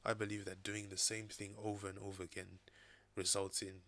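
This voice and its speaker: A man vlogging casually from his desk at home, raspy from recovering from an illness, thinking aloud in a loose, rambling way. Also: fairly low pitch, flat delivery, fairly quick, fairly bright tone. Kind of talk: casual talking-head vlog. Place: home desk with laptop and second screen.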